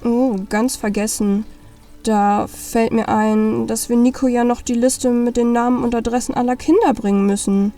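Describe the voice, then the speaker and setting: A woman's voice: fairly high pitch, fairly even intonation, moderate pace, warm tone, far from the mic, soft background music, close to an everyday voice. A woman playing a forgetful, scheming little Christmas elf in a children's audio play. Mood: casual, scheming.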